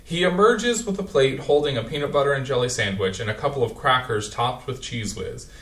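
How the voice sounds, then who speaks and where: A man's voice: mid-range voice, brisk, a bit bright. A man reading a play's stage directions aloud in a plain, matter-of-fact way. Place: theatre stage. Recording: echoey hall, distant from the mic.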